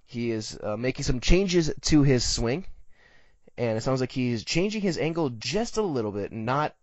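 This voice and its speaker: A man's voice: medium pitch, sing-song, moderate pace, dry recording, highs cut off. A man with an American accent hosting a sports show, talking through the news in a conversational commentary style. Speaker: sports podcast host.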